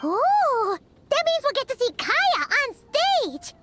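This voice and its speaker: A woman voicing a tiny, cheerful, cheeky fairy companion in an anime game, squeaky and childlike. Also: huge pitch swings, slow, fairly bright tone, heavily acted. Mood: excited. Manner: perky, refers to self in third person. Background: background music.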